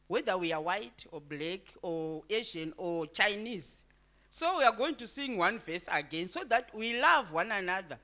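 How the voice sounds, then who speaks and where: A woman visiting a church, speaking and leading call-and-response singing in slow, drawn-out phrases. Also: medium pitch, bright, forceful tone.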